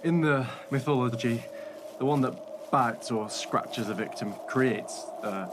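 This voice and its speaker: An actor playing a calculating, glib hotel manager who is secretly a werewolf, in a radio play. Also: male voice, medium pitch, measured pace, lightly acted. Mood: sly, evasive. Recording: noisy recording with loud background ambience.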